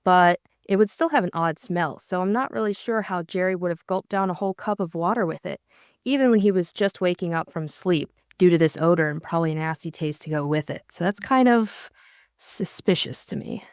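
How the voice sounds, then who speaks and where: A woman's voice: on the low side, a little fast, no room sound, clean studio sound. A young woman co-hosting a casual book discussion, explaining her thoughts carefully. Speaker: audiobook co-host and narrator.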